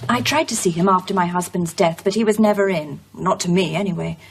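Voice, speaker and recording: An actress playing a composed widow who recounts a troubling past in a measured, articulate way. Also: female voice, fairly low pitch, vocal fry, slight background noise. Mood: guarded. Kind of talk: naturalistic radio drama acting.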